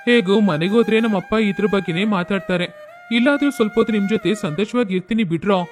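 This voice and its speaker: A man's voice: high voice, very fast, close to an everyday voice. A man playing a lazy, carefree young son. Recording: faint background music.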